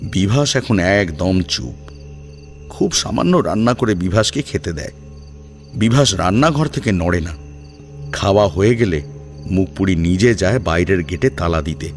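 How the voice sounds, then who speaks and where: Lively male story narrator. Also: low voice, a little slow, pauses often. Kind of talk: dramatic scripted narration. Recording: music behind the voice.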